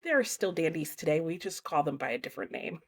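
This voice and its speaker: A young woman hosting her book channel, announcing a readalong in a chatty, casual way with lots of fillers and lively swings in pitch. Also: fast talker. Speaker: booktube host.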